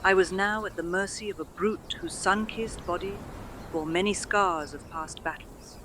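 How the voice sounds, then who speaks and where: A voice actress narrating as a lone mother telling her tale in slow, measured storybook phrasing, with a music score behind her. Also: on the low side, background noise, close to an everyday voice. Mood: wistful.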